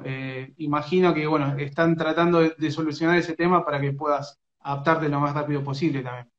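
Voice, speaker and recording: A man with an Argentine Rioplatense accent, interviewing a young footballer over a phone live stream, talking in hesitant stops and restarts. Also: on the high side. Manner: hesitant, many fillers and restarts. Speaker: sports radio interviewer. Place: indoors, small room. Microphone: phone front camera. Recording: roomy, muffled phone audio.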